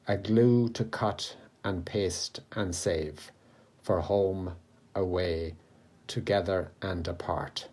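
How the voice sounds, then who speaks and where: Slow, low-pitched poetry reader. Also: a little mellow. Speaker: poet. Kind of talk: slow, measured poetry recitation.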